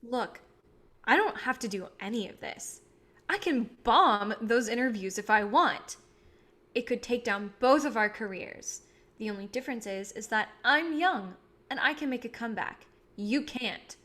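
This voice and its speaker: A woman's voice: fairly high pitch, expressive, unhurried, fairly bright tone. Woman playing a defiant young filmmaker. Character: young idealistic woman filmmaker, defiant, standing her ground. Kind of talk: staged play reading.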